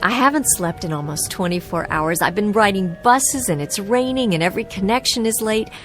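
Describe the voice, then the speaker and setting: A woman's voice: medium pitch, expressive, fairly quick, a bit bright, soft background music, close to an everyday voice. An actress in a radio drama playing a distraught young woman who pleads, protests and insists with agitation. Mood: exasperated, pleading.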